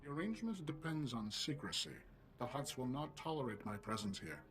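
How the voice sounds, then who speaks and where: A man voicing a coldly rational, knowledge-hungry intelligence in a video game, speaking formally and deliberately. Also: mid-range voice, very animated, crowd noise, close to an everyday voice. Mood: cold, insistent.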